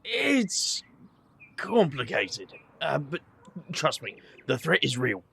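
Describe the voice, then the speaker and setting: A man's voice: a little high, wildly swooping pitch, takes it slowly, creaky, sharp tone, slight background noise, full character voice. An actor playing a wry, guarded young man, hesitant and sardonic, in an audio drama. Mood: earnest.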